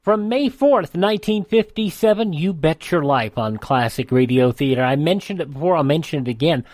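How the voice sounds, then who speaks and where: Animated male radio host, dry studio sound. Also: on the high side, moderate pace, dry recording. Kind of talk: scripted radio presenting. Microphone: close studio microphone.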